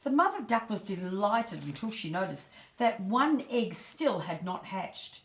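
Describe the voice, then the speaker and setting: A woman storyteller reading a children's fairy tale aloud in a measured, expressive way. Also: mid-range voice. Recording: echoey room.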